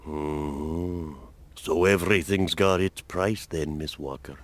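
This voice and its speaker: An actor playing a weary, sly old colonel in a video game, slow and wry, with a husky, breathy voice. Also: male voice, extremely low pitch, a little hiss or hum. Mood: wry, resigned. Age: older. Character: weary old male colonel, retired-soldier type, sly.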